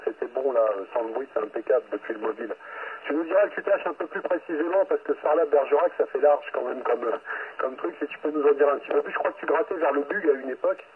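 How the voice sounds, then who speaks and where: Fast-talking man over tinny radio. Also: a little high, husky. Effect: radio transmission. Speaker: amateur radio operator. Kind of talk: casual CB radio chat in turns. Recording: tinny radio channel, faint static.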